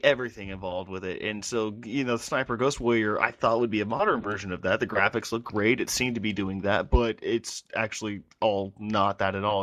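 A man's voice: fairly low pitch, fairly bright tone, no room sound. A young man co-hosting a casual podcast chat, wry and joking. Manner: wry, joking, laughs often. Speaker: podcast co-host.

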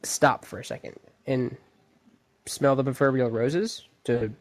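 A man's voice: medium pitch, unhurried. A young man co-hosting a casual podcast, easygoing, stringing his thoughts together loosely. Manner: easygoing, loosely strung sentences. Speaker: podcast co-host. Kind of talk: casual chat.

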